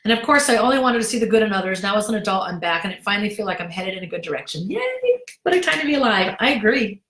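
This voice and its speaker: A middle-aged woman acting coach answering viewer questions in a direct, brisk way. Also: mid-range voice, lively intonation, fairly bright tone, reverberant.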